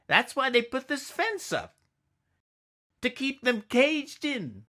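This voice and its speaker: A man's voice: very high-pitched, very animated, slow pace, pushed and bright, pitched above the performer's own voice, exaggerated voice. A man voicing a boastful little boy, a know-it-all big brother who teases and explains dramatically in a storybook reading. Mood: confident.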